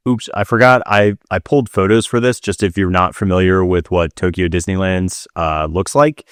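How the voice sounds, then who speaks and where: A man's voice: low voice, steady tone, moderate pace, clean tone, no room sound, studio quality. A man co-hosting a casual talk show, chatting through listener questions in conversation. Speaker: podcast co-host.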